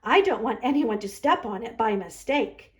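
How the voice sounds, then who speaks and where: Woman voicing a helpful high-voiced little bear. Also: animated, normal speed, a little hiss or hum, lightly acted. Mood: protective. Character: young bear, protective, helpful friend. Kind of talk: children's storybook read-aloud.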